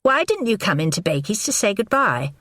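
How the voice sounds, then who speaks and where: A woman reading the part of a brisk, biting woman confronting her lover in an audiobook. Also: medium pitch, big pitch swings, fairly bright tone, no echo, clean studio sound, pitched above the performer's own voice. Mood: angry. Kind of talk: audiobook character voice.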